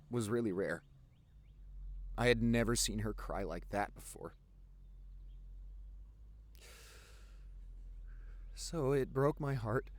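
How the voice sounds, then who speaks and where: A man playing a sincere, protective young boyfriend in an intimate audio roleplay, rambling earnestly with halting repeats and pauses. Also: mid-range voice, natural voice. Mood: upset, tender.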